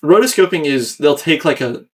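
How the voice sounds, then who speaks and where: A man's voice: fairly high pitch, animated, normal speed. A young American man hosting a casual podcast, explaining his own creative work in a rambling, self-critical way. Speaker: podcast host and video creator.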